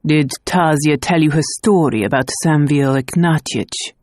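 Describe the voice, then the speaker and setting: A man's voice: a little high, normal speed, a little mellow, dry recording, lightly acted. A man reading an audiobook, voicing a proud, bitter nobleman who speaks in a cool, cutting way. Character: Nicholas, a proud, bitter Russian prince.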